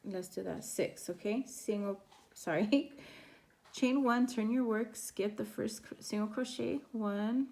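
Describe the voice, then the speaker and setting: A woman teaching a crochet pattern step by step, her voice raspy from a sore throat as she counts stitches aloud. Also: mid-range voice, unhurried, a little mellow. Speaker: crochet instructor. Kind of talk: step-by-step craft tutorial.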